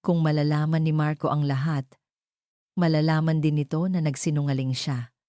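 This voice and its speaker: A woman's voice: low-pitched, level tone, normal speed, warm tone, no room sound, studio quality. A woman reading a scripted dramatic story as its narrator.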